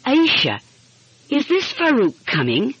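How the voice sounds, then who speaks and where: Woman voicing an earnest high-voiced Turkish girl. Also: huge pitch swings, slow, a bit bright, slightly rough, no echo, full character voice. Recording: muffled, dull lo-fi audio.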